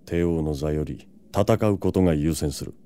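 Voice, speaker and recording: A man acting a proud, gruff yet protective vampire lord in an audio drama, brusque and self-assured. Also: rumbling low, moderate pace, mellow. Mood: cool, defiant.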